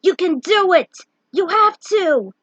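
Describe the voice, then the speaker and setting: A woman's voice: extremely high pitch, expressive, slow, fairly bright tone, dry recording, dull audio, voice pushed up, heavily acted. A woman voicing a brave young male knight who leads his friends, speaking in an emphatic, commanding and urgent way. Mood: urgent shouting.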